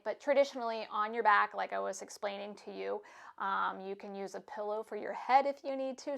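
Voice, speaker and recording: A woman yoga instructor giving calm, soothing, unhurried cues for stretching, breathing and meditation. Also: on the high side, vocal fry.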